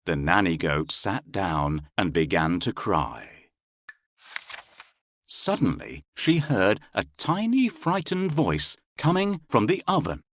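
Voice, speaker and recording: Man, children's story narrator, very expressive, slow, close dry sound. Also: deep voice, no room sound. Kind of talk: scripted children's fairy tale narration.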